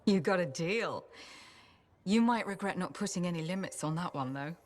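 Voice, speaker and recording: Woman doing a sly teasing fixer, lowish voice, drawling, wry, game cutscene. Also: animated, normal speed, natural voice. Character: sly female fixer trading favors, teasing. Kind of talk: video game cutscene acting.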